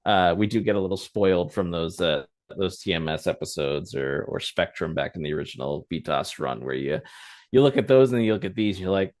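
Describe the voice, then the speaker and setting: A man with a somewhat low voice talks as a podcast co-host. He is conversational and rambles through his thoughts in a casual review style. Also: lively intonation, brisk, a little mellow. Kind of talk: casual animation review chat.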